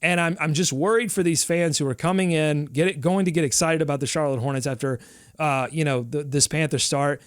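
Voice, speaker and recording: Man, higher voice, rambling animated sports talk, playfully dramatic, dry close recording. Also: brisk, clean tone, no room sound. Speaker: podcast host.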